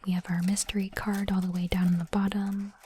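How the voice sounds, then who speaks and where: A woman whispering gently and breathily in an ASMR style, in unhurried short phrases, close to the microphone. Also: medium pitch, flat delivery. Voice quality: soft, breathy unvoiced whisper. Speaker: ASMR host unboxing trading cards. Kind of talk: whispered ASMR, reading cards and commenting. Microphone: close microphone, dry.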